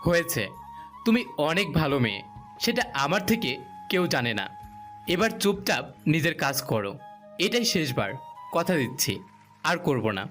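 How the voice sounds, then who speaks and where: A man's voice: on the high side, sing-song, moderate pace, long pauses, fairly bright tone. A man playing a pushy, coaxing newlywed husband in a romantic audio drama. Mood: insistent, commanding. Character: domineering, insistent young newlywed husband. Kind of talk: romantic audio story dialogue. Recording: music behind the voice.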